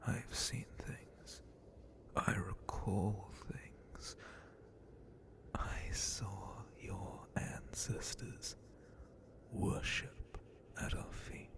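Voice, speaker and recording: An ancient male dragon captain played by a man who looms over a captive in an ASMR roleplay. He speaks in a very slow, breathy whisper edged with gravelly creak. The delivery is possessive, condescending and theatrically menacing. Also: low voice, fairly even intonation, heavily acted. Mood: haughty, disdainful.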